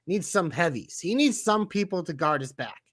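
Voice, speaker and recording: A man with a Boston accent co-hosting a casual wrestling podcast, loud and profane, slipping into shouted impressions. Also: a little high, animated, moderate pace. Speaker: podcast co-host.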